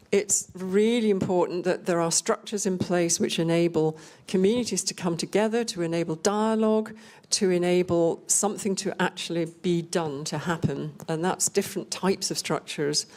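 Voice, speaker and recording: An older woman from Scotland giving an unscripted panel talk in a measured, explanatory way with emphatic repetition. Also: lowish voice, a little mellow. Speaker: panel speaker on rural communities.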